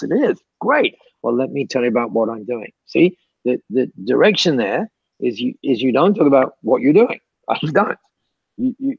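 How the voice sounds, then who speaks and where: Gravelly man giving chatty advice. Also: medium pitch, dry recording, highs cut off. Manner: chatty, repeats words, laughs often. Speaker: guest speaker. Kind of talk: mentoring Q&A on a call.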